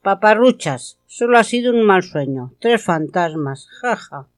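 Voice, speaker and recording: A woman's voice: mid-range voice, animated, a little slow, lightly acted. A woman playing a curt, grumbling, lonely older woman in an amateur radio play. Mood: scoffing. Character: grumpy, lonely old woman, curt and dismissive.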